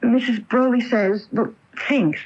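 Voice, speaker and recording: A woman's voice: a little high, unhurried, a little mellow, husky. An actress playing a stubborn, fearless young woman in love, in an earnest, defensive manner, in a 1980 radio drama. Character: young aspiring stage actress, stubborn, unafraid, lovestruck. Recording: dull lo-fi 1980 radio broadcast.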